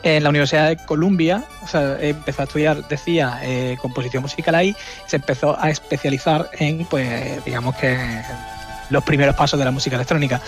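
A man giving an informal, explanatory radio music segment, often pausing mid-phrase and tagging his points for agreement. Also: a little high, steady tone. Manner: halting, explanatory, seeks agreement with tags. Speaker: radio music section contributor. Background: music playing underneath.

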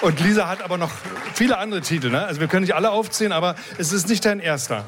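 A man's voice: high-pitched, rapid-fire, a crowd in the background, background noise. A man hosting a radio interview, rambling and often restarting his sentences. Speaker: radio host.

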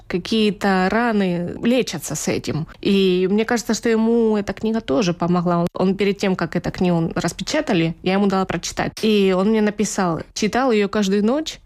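A woman from Ukraine, an author being interviewed, speaking thoughtfully and pausing to search for words. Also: medium pitch, a little mellow. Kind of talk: reflective interview talk.

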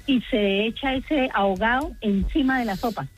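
A woman's voice: on the high side, moderate pace. A middle-aged woman from Colombia with a Colombian accent, calling a radio show and chatting in talkative, detailed lists. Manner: talkative, detailed, listing things. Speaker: radio phone-in caller. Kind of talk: chatty explanation of home cooking.

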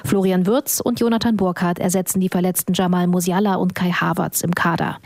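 A woman reporting on sports for radio news in a brisk, informative way. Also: on the low side, level tone, a little mellow, dry recording.

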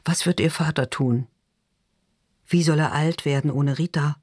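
A man's voice: a little high, steady tone, unhurried, no room sound, clean studio sound. A man narrating literary fiction in a scripted audiobook reading style.

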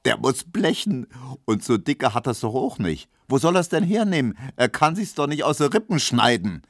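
A man's voice: medium pitch, sing-song, moderate pace, no room sound, studio quality, voice pushed up. A man voicing a teasing, mirthful barber's colleague in a storybook reading, colloquial and exclaiming.

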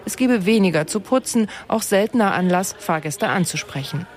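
A woman's voice: medium pitch, expressive, moderate pace. Woman narrating a radio news feature. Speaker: radio reporter. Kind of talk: scripted news report narration.

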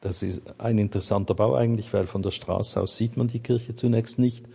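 Older male guide, Swiss accent, very husky and gravelly, casual unscripted explanation. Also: on the low side, fairly even intonation, a little fast, mellow. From Switzerland. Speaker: interviewee, church community guide.

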